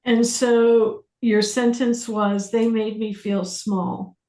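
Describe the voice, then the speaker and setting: A woman facilitating a self-inquiry class, speaking deliberately with pauses, questions and phrases repeated for emphasis. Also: fairly high pitch, level tone, warm tone. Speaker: workshop facilitator leading a group class. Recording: roomy echo on the voice.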